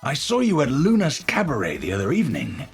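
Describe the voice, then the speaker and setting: An actor playing an eccentric older physicist in a video game, gentle and brilliant yet unhinged, speaking formally and precisely with a breathy, husky voice. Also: male voice, mid-range voice, wildly swooping pitch, a little fast, soft background music, full character voice. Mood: musing, polite. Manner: precise, formal, musing, erratic swings into fervour. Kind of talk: video game character voice lines.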